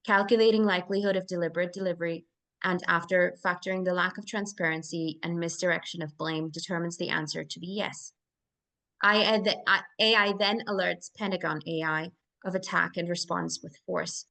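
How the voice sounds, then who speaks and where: Lowish-voiced woman reading stage directions. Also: fairly even intonation, fairly quick, gravelly, a bit bright. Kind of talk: scripted narration at live table read.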